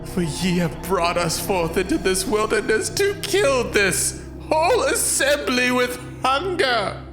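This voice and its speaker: An actor playing a bitter, hungry Israelite lamenting in a drawn-out, plaintive way in a dramatized scripture reading. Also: male voice, very high-pitched, sing-song, heavily acted. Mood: bitter complaint. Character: aggrieved Israelite complainer, bitter and hungry in the wilderness. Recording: echoing voice under prominent music.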